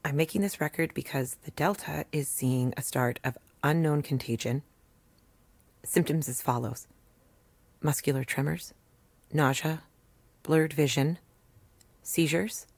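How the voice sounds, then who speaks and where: A woman's voice: low-pitched, dry recording. A woman playing a weary, dogged rural doctor and mother in an audio drama, with a dry, blunt, wry delivery. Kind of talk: naturalistic audio drama acting.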